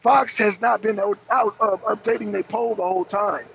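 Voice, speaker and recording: A man with an American accent speaks in a high, raspy voice full of gravelly fry. He delivers off-the-cuff political commentary as a show host, hammering key phrases by repeating them. The audio is dull, with noticeable noise behind him. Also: steady tone, normal speed, a bit bright.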